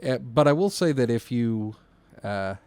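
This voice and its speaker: A man with a mid-range voice and highly animated intonation chats as a podcast co-host. He talks informally, like a dispatcher among colleagues, halting and rephrasing as he thinks aloud. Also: dry recording. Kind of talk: casual podcast conversation.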